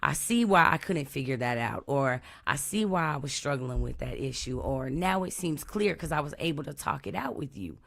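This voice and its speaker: A woman from Texas, hosting a live stream in a relaxed, chatty way over an online call. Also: deep voice, normal speed, creaky, few pauses. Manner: relaxed, chatty, open about personal experience. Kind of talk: casual chat. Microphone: online call or stream mic.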